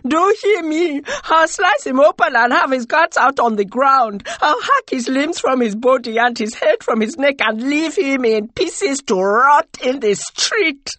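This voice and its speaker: A wailing, vengeful, high-pitched woman. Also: big pitch swings, a little slow, hardly any pauses, a bit bright, no echo, exaggerated voice. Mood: wailing rage. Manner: urgent, wailing, snarling, swinging from whispers to cries. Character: distraught vengeful woman, wailing with grief and fury. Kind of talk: audiobook character acting.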